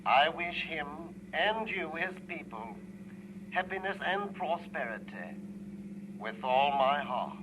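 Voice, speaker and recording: A man as a solemn, measured British king giving a formal 1930s radio address. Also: a little high, animated, muffled recording. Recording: noisy, humming old radio broadcast.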